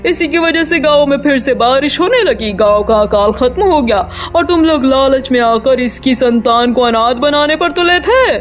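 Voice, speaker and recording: A woman's voice: sits high, animated, moderate pace, hardly any pauses, faint music behind. A woman voicing a commanding, protective witch with supernatural powers in a cartoon story, speaking in a declamatory way. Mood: commanding, scolding. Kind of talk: Hindi cartoon story dubbing.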